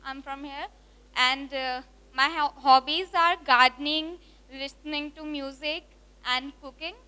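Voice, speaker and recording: A young woman with an Indian accent introducing herself to her class, pausing with fillers and correcting herself. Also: sits high, steady tone, pushed and bright. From Lucknow, India. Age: young adult. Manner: hesitant, with fillers and self-corrections. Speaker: new student. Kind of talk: self-introduction.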